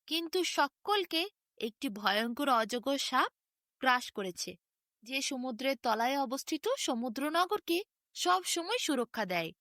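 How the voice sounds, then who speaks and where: A woman's voice: high-pitched, a bit bright, no echo, studio quality. A woman narrating a fairy tale as a bedtime story, with a sing-song storytelling delivery. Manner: sing-song storytelling with wide rises and falls.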